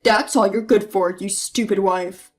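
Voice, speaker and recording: A woman voicing a bullying, entitled husband who shouts and belittles his wife, with a lowered voice. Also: fairly even intonation, a little slow, soft background music. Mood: angry. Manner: yelling, belittling, then pleading. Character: bullying entitled husband, loud mama's boy.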